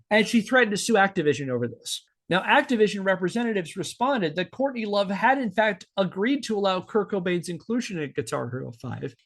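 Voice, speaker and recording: A man's voice: sits high, fairly bright tone. A man hosting a podcast, reading scripted narration with casual asides in a wry, emphatic storytelling manner. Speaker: podcast host.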